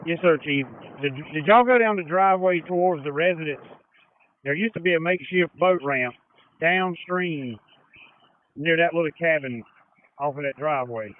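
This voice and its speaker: A man's voice: fairly high pitch, normal speed. An American man giving brief instructions as an incident commander over emergency two-way radio. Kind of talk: emergency radio dispatch traffic. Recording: muffled two-way radio with noise and clicks.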